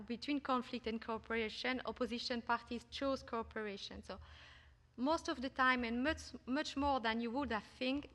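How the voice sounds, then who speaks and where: A woman's voice: fairly high pitch, a bit bright, long reverb tail. A woman presenting her research paper at an academic conference, speaking hesitantly with many fillers and restarts. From Belgium. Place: conference hall.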